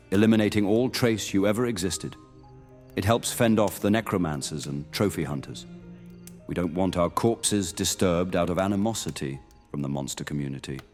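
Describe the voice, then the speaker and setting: A man's voice: deep voice, darkish tone. A man playing a stern veteran monster hunter knight in a fantasy audio roleplay, speaking formally and solemnly. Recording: long hall reverb under music.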